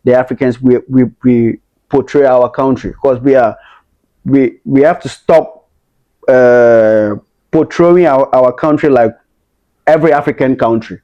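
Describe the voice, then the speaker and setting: A man's voice: medium pitch, measured pace, dull audio. A man from Ghana in a casual chat who often chimes in with short agreements.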